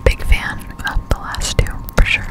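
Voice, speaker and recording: A young woman whispering casual gameplay chat in an ASMR style, slow and halting, close to a desktop microphone at home. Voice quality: breathy unvoiced whisper. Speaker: gaming ASMR streamer. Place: indoors in a room at home. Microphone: close-up desktop condenser microphone.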